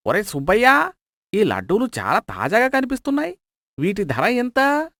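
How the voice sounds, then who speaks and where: A man's voice: high voice, huge pitch swings, normal speed, fairly bright tone, dry recording, studio quality, exaggerated voice. A man playing a sly, miserly cloth merchant in a moral story, wheedling, exclaiming and justifying himself.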